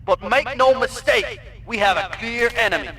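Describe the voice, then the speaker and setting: A forceful, high-pitched American Marine commander rallies his troops with a sharp, bright edge. He is stern and sardonic, speaking like a gung-ho video game officer in a briefing. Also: moderate pace. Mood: stern, rousing. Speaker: military commander.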